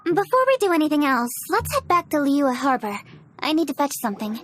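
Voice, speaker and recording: A woman's voice: sits high, big pitch swings, fairly quick, faint music behind. A woman voicing a proud, composed yet self-conscious young noblewoman in a video game, formal and precise. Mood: reserved.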